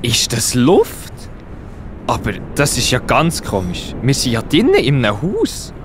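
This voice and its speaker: A man with a Swiss German accent playing an excitable, puzzled disciple in a children's Bible audio drama. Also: mid-range voice, unhurried, background noise. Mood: puzzled, astonished.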